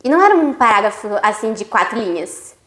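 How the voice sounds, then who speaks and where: A woman's voice: fairly high pitch, quick pace. Chatty young Brazilian woman vlogging. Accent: Brazilian Portuguese. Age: young adult. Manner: chatty, addresses viewers directly, lively asides. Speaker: university student vlogger. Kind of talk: casual explanatory vlog.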